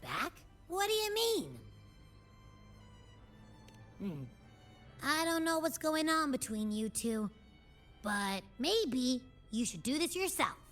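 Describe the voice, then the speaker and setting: A woman voicing a small, sassy, curious girlish companion in a video game, expressive and huffy. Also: high voice, slow pace, pauses often, soft background music, heavily acted. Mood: reluctant, huffy. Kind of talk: scripted game dialogue.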